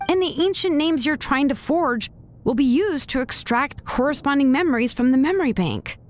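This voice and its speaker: A woman's voice: high-pitched, animated, moderate pace. A woman playing a shrewd young craftswoman in a video game, composed, analytical and skeptical. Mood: knowing, probing.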